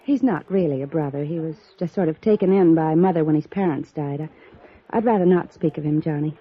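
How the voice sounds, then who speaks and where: A woman's voice: deep voice, brisk, slightly rough. An actress playing a trusting, easily wounded young woman with polite, earnest feeling, in 1950s radio drama style. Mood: reluctant, uneasy. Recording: muffled old radio recording.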